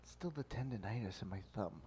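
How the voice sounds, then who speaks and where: A man's voice: fairly low pitch, expressive, a little fast, dark tone, husky. A man who is the show's executive producer, chatting in a mild, matter-of-fact way. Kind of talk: casual chat.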